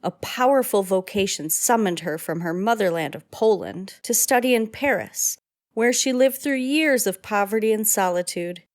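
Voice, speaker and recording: Woman narrator, measured, solemn, scripted radio storytelling, dry room. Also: mid-range voice, dry recording.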